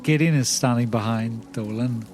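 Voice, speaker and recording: A man's voice: mid-range voice, normal speed, darkish tone, faint music behind. A man playing a tabletop roleplay game, describing his actions and speaking in character.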